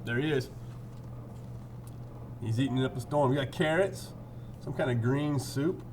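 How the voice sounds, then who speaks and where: A man's voice: medium pitch, normal speed, slight background noise. A man reading a picture book aloud, adding playful, informal asides as he goes. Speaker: read-aloud storyteller.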